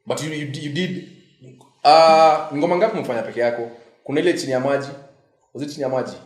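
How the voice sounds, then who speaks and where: A Kenyan man hosting an interview, asking quick questions and teasing his guest. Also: a little high, fairly even intonation. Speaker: host. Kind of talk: casual interview. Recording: roomy echo.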